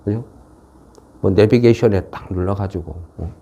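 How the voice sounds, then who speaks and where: A man's voice: fairly low pitch, moderate pace, mellow. A man with a regional, non-Seoul South Korean dialect giving an informal explanatory market lecture in a folksy way, often tagging on rhetorical questions. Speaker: stock market commentator.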